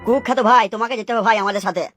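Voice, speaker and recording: A woman's voice: on the high side, racing, over music, close to an everyday voice. A woman playing an insistent, scheming gang member in an amateur cartoon dub. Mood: insistent, pleading. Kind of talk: amateur Bengali cartoon dubbing.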